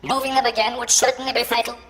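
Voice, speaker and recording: A woman's voice: fairly high pitch, little pitch movement, quick pace, fairly bright tone, faint music behind. A woman playing a clinical medical droid in a science fiction drama, precise, formal and detached. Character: clinical female medical droid, unbending and matter-of-fact.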